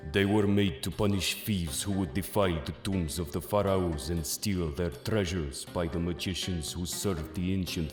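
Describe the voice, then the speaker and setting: A man acts out a mysterious Egyptian mystic for a manga audio drama. The voice is deep and grave, drenched in echoing reverb over clear background music. Every phrase is weighty and portentous. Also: darkish tone. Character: solemn, mysterious Egyptian mystic man wielding ancient magical items. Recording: echoey, long cavernous reverb.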